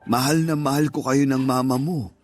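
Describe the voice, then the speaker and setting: A middle-aged stepfather played by a man speaks in a medium-pitched voice with a Filipino accent. He is devoted, tender and reassuring, like a dad giving counsel in a radio drama, with faint music behind him. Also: fairly even intonation, normal speed, lightly acted. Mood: tender, moved. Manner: earnest, fatherly, reassuring. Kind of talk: radio drama acting in Tagalog.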